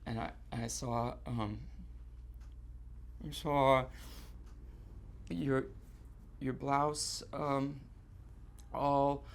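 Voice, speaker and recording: An actor playing a distraught, guilt-ridden man on stage, confessing in halting, repetitive, stammered phrases in a live theatre monologue. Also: medium pitch, fairly even intonation, vocal fry. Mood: hesitant, dread. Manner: halting, stammering, repeating himself.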